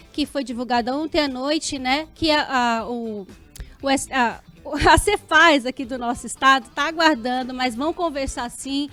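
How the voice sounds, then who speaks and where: A woman co-hosts a talk show in a high voice. She argues earnestly but haltingly, often stopping to correct herself mid-sentence. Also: a bit bright. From São Paulo, Brazil.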